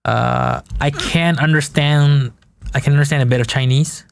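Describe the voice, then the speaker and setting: A man's voice: fairly high pitch, moderate pace, vocal fry. Malaysian man rambling while modeling. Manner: rambling, thinking aloud with restarts and chuckles. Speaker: game developer streamer. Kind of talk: casual live-stream chat while working.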